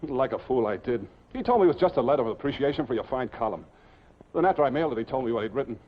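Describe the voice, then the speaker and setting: An actor playing a nervous, apologetic sanitarium guard, talking hurriedly in a 1950s television drama. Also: male voice, mid-range voice, expressive, racing, close to an everyday voice. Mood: anxious, apologetic. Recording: dull, muffled 1950s television soundtrack.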